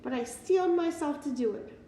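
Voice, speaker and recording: A woman voicing a gentle young schoolteacher who talks in an earnest, confiding way in a storybook reading. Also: sits high, lively intonation, fairly quick, voice pushed up. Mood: confiding, proud. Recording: roomy, distant mic, faint noise.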